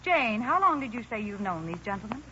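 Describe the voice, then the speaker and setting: An icy, haughty woman in an old radio play. She is formal and cutting, with a bright, animated delivery on a dull, hissy recording. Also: fairly high pitch, fairly quick. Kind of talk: 1940s radio acting.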